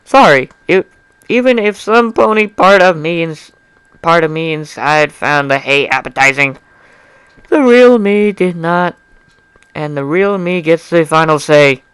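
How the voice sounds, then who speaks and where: A man's voice: a little high, animated, measured pace, a bit bright, smooth, no room sound, low-quality recording. A young man giving an amateur dramatic reading of fan fiction, informal and often breaking off into chatty, apologetic asides. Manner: informal, self-interrupting, apologetic.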